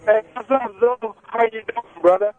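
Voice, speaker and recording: A man from Ghana calling in by phone, speaking in quick, choppy bursts. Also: sits high, darkish tone, dull audio. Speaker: caller, truck driver. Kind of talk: casual phone call.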